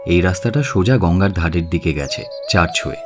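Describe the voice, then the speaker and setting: A male actor plays a young college student in a radio drama. The voice is fairly low but swings animatedly in pitch. He comes across as eager, courteous and talkative. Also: lively intonation, fairly quick, over music. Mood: polite, helpful. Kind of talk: Bengali radio drama acting.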